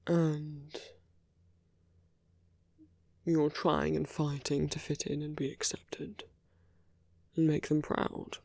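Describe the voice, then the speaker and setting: A man playing a teasing yet tender villain in an intimate ASMR roleplay, speaking in hushed, unhurried phrases with creaky dips toward a whisper. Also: a little high, level tone, no echo, studio quality. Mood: tender, earnest. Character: smooth teasing male villain, tender toward his enemy.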